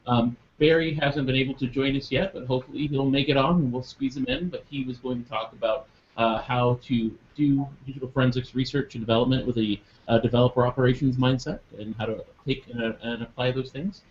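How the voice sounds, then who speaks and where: A man's voice: mid-range voice, fairly even intonation, a little fast. A man hosting a live online show, chatting casually with many ums and uhs. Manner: rambling with many fillers. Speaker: podcast host. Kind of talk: casual live webcast chat. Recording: dull lo-fi webcast audio with faint noise.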